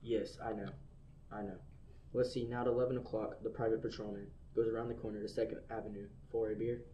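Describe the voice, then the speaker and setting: A man's voice: on the low side, level tone, fast talker, far from the mic, muffled recording, slight background noise, close to an everyday voice. A boy playing a cold, matter-of-fact hired killer on the phone. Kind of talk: student radio play acting.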